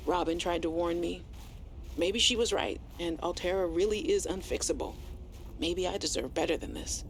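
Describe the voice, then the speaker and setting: A woman playing an earnest, anxious but quietly defiant scientist in a video game audio log, hesitant and confiding. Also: lowish voice, slight background noise, lightly acted.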